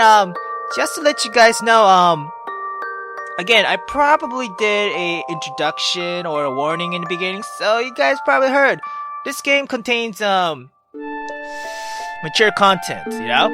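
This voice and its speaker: Man, gaming channel host, rambling casual commentary, swears a lot, lively pitch, music behind.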